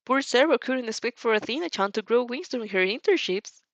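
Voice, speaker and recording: Woman voicing a playful, lively teenage boy. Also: a little high, fairly quick, no room sound, muffled recording, voice pushed up. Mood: dreamy. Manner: teasing, sighing. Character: playful, teasing, dreamy teenage boy classmate.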